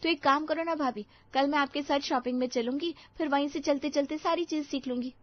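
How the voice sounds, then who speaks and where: A woman voicing an eager, anxious young daughter-in-law in a family story, with an excitable, dramatic, pleading manner. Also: high-pitched, brisk, no echo, muffled recording, voice pushed up, lightly acted.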